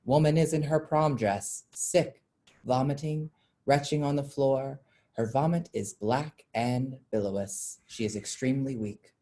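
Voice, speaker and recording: A woman's voice: very deep voice, measured pace, mellow. A woman reading stage directions as the narrator of a staged play, in scripted narration.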